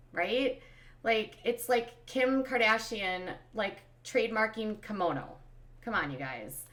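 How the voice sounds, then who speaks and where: A woman from Minnesota with a Minnesotan accent, hosting a casual commentary stream, talking in an exasperated, emphatic way and calling her viewers "you guys.". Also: medium pitch. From Minnesota, USA. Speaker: commentary channel host.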